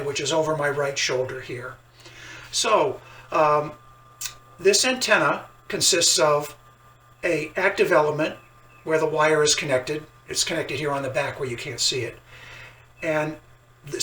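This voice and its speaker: A man with a fairly high, bright voice presents a hobby topic in a plain, methodical way. He sounds a little far from the microphone in a roomy space. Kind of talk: explanatory hobby talk to camera. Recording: roomy, distant mic, faint background noise.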